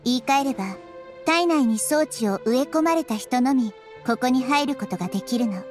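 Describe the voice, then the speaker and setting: A woman voicing a small, wise young girl deity in a game, speaking in a measured, gently reproving way. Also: high voice, animated, over music. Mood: calm, reproving. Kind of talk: scripted Japanese game voice acting.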